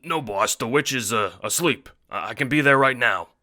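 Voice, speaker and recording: A man playing a big, gruff but friendly and bashful henchman in an audio drama. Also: medium pitch, lively intonation, moderate pace, pushed and bright, voice pushed up. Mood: panicked.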